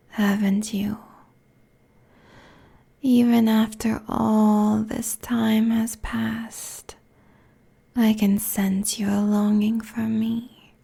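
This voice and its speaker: A woman's voice: a little high, little pitch movement, drawn-out words, creaky, dark tone, husky, exaggerated voice. A woman playing an ancient, seductive and menacing succubus demon queen in an ASMR roleplay, whispering breathily and taunting with haughty deliberation into a close binaural mic. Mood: sultry, knowing. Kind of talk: ASMR roleplay audio drama. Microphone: close binaural microphone.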